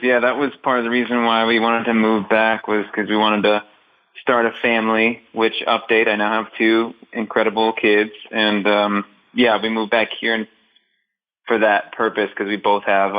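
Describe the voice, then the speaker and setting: A man's voice: lowish voice, flat delivery, normal speed. A man in his thirties from Northeast Ohio, a guest drummer chatting upbeat and joking in an interview. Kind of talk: casual interview chat. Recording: slightly dull remote audio.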